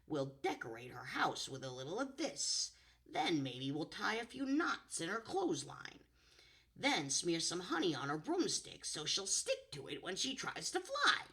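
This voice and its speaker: A woman's voice: lowish voice, wildly swooping pitch, measured pace, pushed and bright, voice pushed up, heavily acted. A woman doing a New York gangster teen bear. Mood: scheming. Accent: New York gangster put-on. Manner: tough, swaggering. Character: gruff teen male bear bully, gangster.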